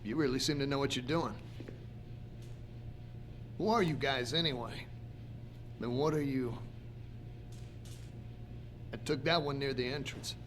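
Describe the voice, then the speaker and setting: An actor playing a cocky, skeptical photojournalist, blunt and inquisitive, in a video game cutscene. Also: male voice, mid-range voice, a little slow, long pauses, fairly bright tone, slight background noise, close to an everyday voice. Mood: cocky, probing. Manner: blunt, questioning, wry.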